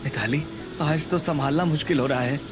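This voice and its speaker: Gentle supportive husband, higher male voice acted. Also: a little fast, music in the background, lightly acted. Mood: encouraging. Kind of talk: scripted cartoon dialogue.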